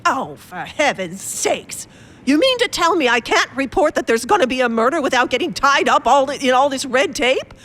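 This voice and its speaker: Woman as fretful, haughty housewife for radio drama; high voice, wildly swooping delivery, indignant and insistent. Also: big pitch swings, moderate pace, a bit bright. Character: nervous, imperious, complaining invalid wife. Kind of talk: radio play acting.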